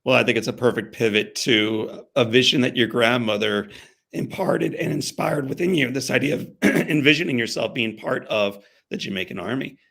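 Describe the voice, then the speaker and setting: A man's voice: mid-range voice, moderate pace, gravelly, a bit bright. Thoughtful male podcast host. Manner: thoughtful, repeats words as he starts a question. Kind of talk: interview questions.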